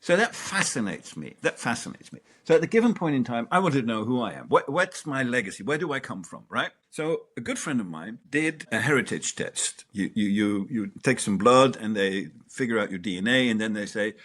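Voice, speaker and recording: Middle-aged man, Danish-born and raised in the Netherlands, interview guest, animated storyteller, very lively intonation. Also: medium pitch, a little fast, few pauses. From Denmark, raised in the Netherlands. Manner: animated, swears and laughs while telling stories. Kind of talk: reflective storytelling.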